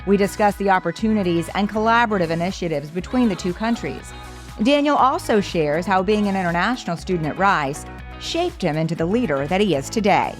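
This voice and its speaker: A woman hosting a podcast interview, asking measured, upbeat questions. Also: mid-range voice, animated, faint music behind. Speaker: podcast host.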